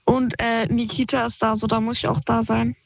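A woman's voice: on the high side, flat delivery, moderate pace, vocal fry, no echo. A woman calling in to a radio show on her phone, talking hesitantly with many fillers. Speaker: caller. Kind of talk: phone-in conversation. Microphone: phone held to ear.

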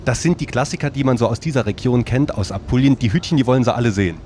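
A man's voice: medium pitch, expressive, racing, a little mellow. A man hosting casual live radio from inside a tour bus, chatting and teasing passengers in a jovial way. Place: inside a moving coach. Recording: clear vehicle noise on location.

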